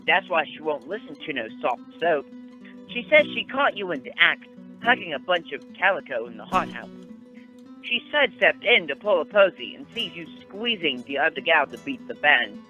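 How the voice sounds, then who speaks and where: A man acts out a scrappy errand boy in a radio play. The voice sits fairly high and the talk is rough, ungrammatical slang, eager for a coin. It comes through thin as a phone call, with faint guitar music. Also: very animated, moderate pace, bright, forceful tone, heavily acted. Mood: brash, insistent.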